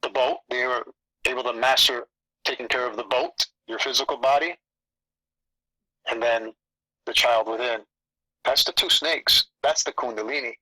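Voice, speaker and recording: A man's voice: medium pitch, fairly even intonation, moderate pace, pauses often, bright, forceful tone, dry recording, low-quality recording. A man who grew up in Canada with Italian as his first language, rambling through a casual spiritual chat and repeating phrases. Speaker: spiritual channel host.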